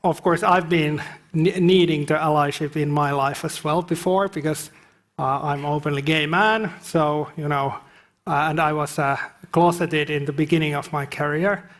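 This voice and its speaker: A man's voice: a little high, fairly even intonation, moderate pace, fairly bright tone. A man introducing himself on a panel, hesitant, with many restarts. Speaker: business leader, panelist.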